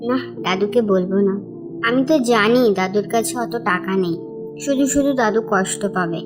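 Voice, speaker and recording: A woman's voice: medium pitch, moderate pace, music in the background, close to an everyday voice. A woman voicing a sweet, devout, poor little orphan girl in a cartoon. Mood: quietly resolved. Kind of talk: cartoon dialogue.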